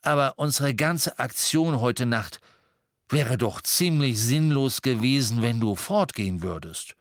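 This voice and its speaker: A man voicing a calm, kindly middle-aged father who reassures, in an audiobook. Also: medium pitch, unhurried, no echo, studio quality, pitched above the performer's own voice. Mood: reassuring.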